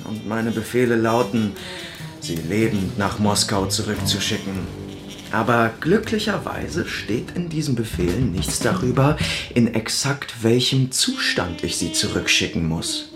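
Smug, spiteful Soviet officer. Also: male voice, on the low side, expressive, a little slow, over music. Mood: menacing, sarcastic. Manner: mock-polite, gloating, sarcastic. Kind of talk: German hardboiled radio crime drama acting.

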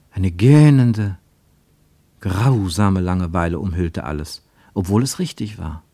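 A man narrating a literary radio play with expressive, deliberate phrasing. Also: fairly low pitch, breathy edge, no echo.

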